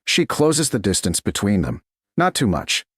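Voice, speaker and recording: A man reading scripted romance fiction as an audiobook narrator, in measured, dramatic short phrases. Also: fairly low pitch, wildly swooping pitch, breathy edge, dry recording, clean studio sound.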